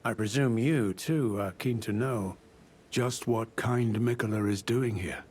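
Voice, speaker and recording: An actor playing a courteous, world-weary old knight turned scholar in a video game, speaking in formal, measured, archaic phrasing. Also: male voice, on the low side, a little mellow, slightly rough. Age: older. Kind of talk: video game character dialogue.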